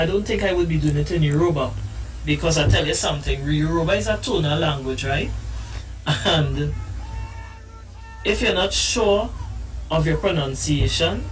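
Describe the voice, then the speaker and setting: Brief: male musician guest, higher-pitched, earnest and explanatory, heard from a distance with faint music behind. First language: English. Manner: earnest, explanatory, stumbles on restarts. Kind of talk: live-stream interview chat.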